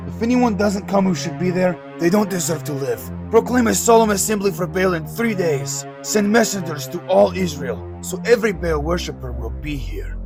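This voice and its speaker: A man's voice: sits high, expressive, moderate pace, few pauses. A man voicing a ruthless, scheming, proud king who speaks in a commanding, declamatory way in an audio drama. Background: music.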